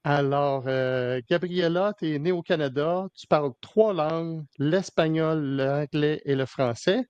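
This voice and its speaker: A man's voice: a little high, unhurried, darkish tone, clear, resonant tone, dry recording, highs cut off. A man hosting a podcast interview in casual Québécois French, hesitant, with many fillers and restarts. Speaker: podcast host.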